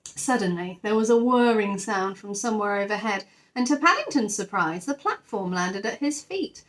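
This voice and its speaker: A woman's voice: medium pitch, lively intonation, normal speed, fairly bright tone, poor audio. A woman narrating a children's story expressively, like a teacher reading aloud to her class.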